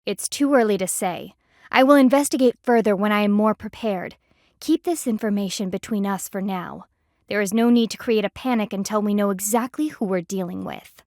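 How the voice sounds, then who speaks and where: A woman's voice: mid-range voice, normal speed, a little mellow, no echo, clean studio sound, natural voice. A woman voicing a stern, haughty panda army lieutenant in a video game, speaking in a formal, clipped, dryly disdainful way. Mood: businesslike.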